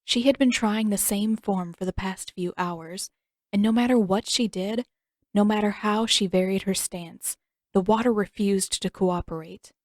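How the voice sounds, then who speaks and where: A woman narrating a fan story aloud in a steady, even storytelling manner. Her voice sits at a middle pitch. The recording is dry and close, with no room sound. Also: a little slow, no echo. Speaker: podfic reader and author.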